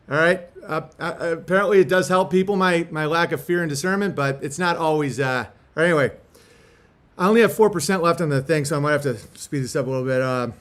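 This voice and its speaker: A man's voice: fairly high pitch, brisk. A man chatting casually in slangy, sweary language as a host, partly reading a letter aloud. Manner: laid-back slangy talk with frequent swearing.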